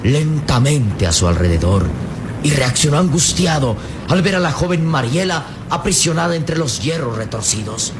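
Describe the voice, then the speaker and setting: A man with a Latin American Spanish accent narrating an old-fashioned radio serial dramatically, solemn and suspenseful, with deliberate phrasing and pauses. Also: fairly high pitch, big pitch swings. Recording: old radio drama with storm noise behind.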